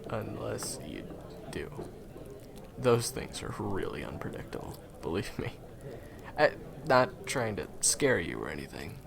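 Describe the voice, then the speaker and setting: A man's voice: lowish voice, very animated, unhurried, creaky, full character voice. A man playing a friendly, chatty guide to monster hunters in an audio roleplay, explaining things casually and reassuringly straight to the listener. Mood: informative, reassuring. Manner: casual, hesitant asides, explanatory. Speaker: voice roleplay performer. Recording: crowd chatter behind the voice. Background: busy crowd ambience.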